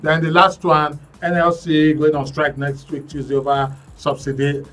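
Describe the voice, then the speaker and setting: A male radio host moderating a panel, briskly moving it from topic to topic. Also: fairly high pitch, level tone, a bit bright. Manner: brisk, moves the panel along. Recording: distant mic, faint music behind.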